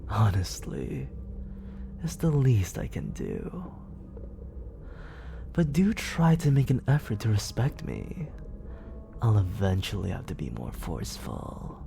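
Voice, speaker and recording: A man acting out a manipulative villain who purrs his words close to the mic, low-toned and slow. His voice is gravelly and dark, and it drifts often into a hushed whisper. His manner is coaxing, condescending and fully in control. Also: slight background noise, heavily acted. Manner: slow, purring delivery that often drops into whispers. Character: smooth, manipulative male villain, soft-spoken and controlling. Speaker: voice actor. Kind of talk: ASMR roleplay. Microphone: close studio condenser mic.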